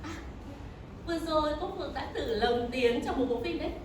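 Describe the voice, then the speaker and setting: Very echoey, high-pitched woman teacher. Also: a little fast, background noise. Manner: expressive, addressing small children. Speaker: preschool teacher. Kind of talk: children's lesson and storybook narration. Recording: echoey room, distant mic, music.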